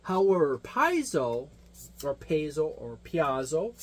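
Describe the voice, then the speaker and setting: Man, slightly high voice, tabletop game reviewer, unscripted overview, explanatory, rambling, repeats himself. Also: sing-song, slow. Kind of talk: unscripted product overview commentary.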